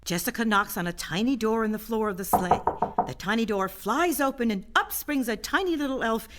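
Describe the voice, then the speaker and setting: Woman, radio drama narrator, scripted reading, slightly high pitch. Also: a little fast, fairly bright tone.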